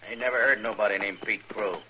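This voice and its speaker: A man's voice: on the low side, fast talker, bright, forceful tone. A man playing a crooked ranch foreman in a western radio drama, defensive and rustic in his protests. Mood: indignant, defensive. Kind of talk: old-time radio western drama acting. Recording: dull, lightly noisy old radio recording.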